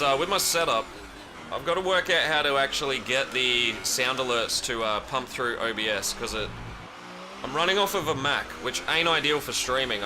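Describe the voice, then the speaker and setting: A young Australian man streaming a racing game, chatting loosely with viewers and swearing casually as he drives. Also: medium pitch. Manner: rambling, chatty, swears casually, answers chat. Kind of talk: casual live-stream chat while racing. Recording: racing game audio behind voice. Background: game engine noise.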